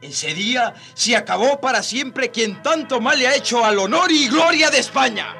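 An actor in a radio drama playing a malicious Spanish soldier, mocking and gloating. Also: male voice, very high-pitched, expressive, moderate pace, creaky, sharp tone, faint music behind, heavily acted.

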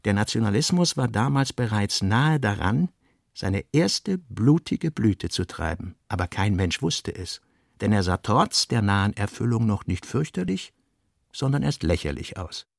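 A man narrating a literary radio drama, reading fragmentary notes in measured, clipped, deliberate phrases. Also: lowish voice, big pitch swings, creaky, no room sound, studio quality.

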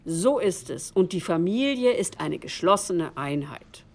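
A woman's voice: fairly low pitch, animated, unhurried. A woman playing a sober, restrained co-narrator in a radio documentary drama, matter-of-fact and keeping order. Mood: restrained, factual.

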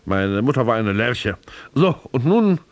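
Slow, swooping, bossy landlord. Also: male voice, medium pitch, sing-song, dry recording. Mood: jovial. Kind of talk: radio drama acting.

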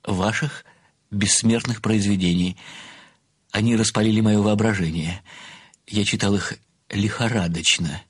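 A man's voice: lowish voice, steady tone, measured pace, long pauses, husky, no room sound. An actor playing a meek older man, a retired bookkeeper turned amateur sleuth, speaking deferentially, formally and earnestly in an audio play. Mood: earnest, reverent.

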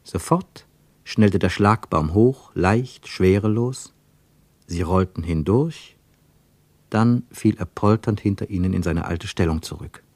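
A man narrating a literary radio travelogue in a measured way, with dramatic weight on single words. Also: sits low, darkish tone, no echo.

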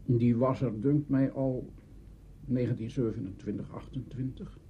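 An older man with a Netherlands Dutch accent, reminiscing in an interview in a deliberate, halting way, searching for words. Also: medium pitch, fairly even intonation, slightly rough. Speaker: interviewee recalling early radio drama. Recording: dull, slightly noisy interview recording.

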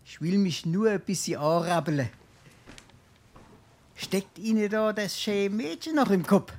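An actor playing a shameless, wheedling, penniless tippler in Darmstadt dialect, theatrically swinging between mutters and exclamations in a stage comedy. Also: male voice, sits high, measured pace, darkish tone. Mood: jovial, wheedling. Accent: Hessian, Darmstadt dialect.

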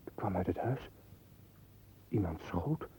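A man's voice: low-pitched, lively intonation, slow, warm tone, slight background noise. A man acting a tense, jumpy man who fears he is being hunted, in a Dutch radio play. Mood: alarmed.

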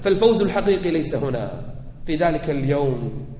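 High-pitched male preacher in an echoing hall. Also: expressive, a little fast, a little hiss or hum. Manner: fervent oratory, swinging from declamatory calls to quiet asides. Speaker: preacher giving a Friday sermon. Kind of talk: impassioned Islamic sermon, rhetorical questions. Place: large reverberant indoor hall. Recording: echoey hall, long reverb, slightly dull sound.

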